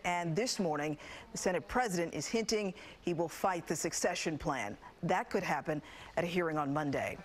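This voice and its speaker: A woman's voice: fairly low pitch, a little hiss or hum. A woman with an American accent reads scripted TV news as an anchor. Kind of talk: scripted newscast narration.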